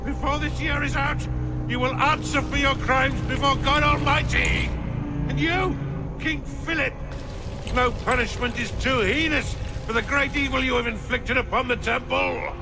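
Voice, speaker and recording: A condemned Templar master, shrieking high. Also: male voice, animated. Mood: furious, cursing. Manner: booming, declamatory, drawn-out cries. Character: condemned Templar grand master, wrathful, cursing his persecutors. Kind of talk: dramatic theatrical game acting. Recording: loud music behind the voice.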